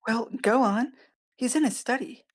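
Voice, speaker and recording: A woman's voice: a little high, moderate pace, close to an everyday voice. A woman playing a sweetly doting yet sinister otherworldly mother in a screenplay table read, coaxing and playful. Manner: coaxing, playful, sing-song.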